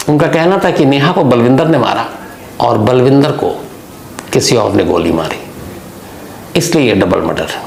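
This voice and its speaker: Guy narrating crime stories, creaky mid-pitched voice, deliberate explainer style, faint noise behind. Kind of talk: unscripted crime storytelling. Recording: echoey room, faint background noise.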